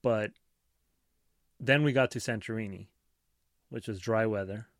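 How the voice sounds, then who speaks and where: A man talks as a podcast co-host in an easy conversational style, passing on practical advice. His voice is a bit low in pitch, recorded dry with little sense of the room. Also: a little slow, no room sound. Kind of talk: casual chat, sharing travel tips.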